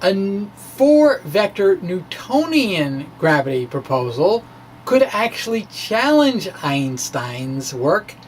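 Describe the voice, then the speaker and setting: A man with a fairly high voice presents his science blog informally. He moves haltingly, pausing mid-phrase, with a playful, wry edge. A light layer of background noise sits under the recording. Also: big pitch swings, rough recording. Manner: wry, playful, chuckles at own asides. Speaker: amateur physicist presenting own science blog. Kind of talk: dramatic reading of a physics blog, informal explaining.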